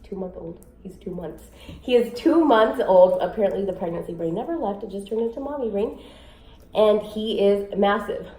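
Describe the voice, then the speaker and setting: A young woman vlogging casually to camera at home, a little sick, rambling with many fillers in a raspy voice. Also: normal speed, dark tone. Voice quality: raspy, low voice. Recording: echoey room, faint noise.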